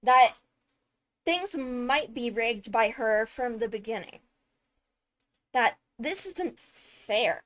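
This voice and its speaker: A woman giving casual, analytical commentary on a video, animated and emphatic on key words. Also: on the high side, slow, long pauses. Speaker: video host, game lore commentator. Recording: background music behind the voice. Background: music.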